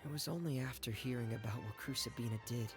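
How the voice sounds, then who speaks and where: An actor playing a polite, earnest, soft-hearted young man in a video game. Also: medium pitch, quick pace, music in the background, lightly acted. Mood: grateful, earnest. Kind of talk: video game dialogue acting.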